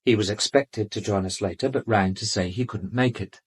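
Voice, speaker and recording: An actor playing an arrogant, urbane British doctor in a radio drama, speaking with haughty, defensive precision. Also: male voice, on the low side, normal speed, breathy edge. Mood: guarded, irritated. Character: arrogant, urbane male doctor under police questioning.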